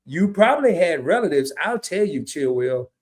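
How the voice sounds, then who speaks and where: A man co-hosting a casual live stream, reading out viewer comments and telling lively stories with quick asides. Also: on the high side. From family from Arkansas and Chicago, lived in California. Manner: animated storyteller who drops into quick asides and reads comments in voices. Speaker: co-host of a live discussion stream.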